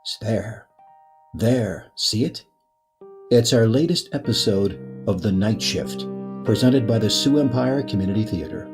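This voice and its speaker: A man playing an eerie, inviting radio host, narrating a suspense story in a portentous, deliberate, beckoning way. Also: lowish voice, animated, music in the background. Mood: eerie invitation.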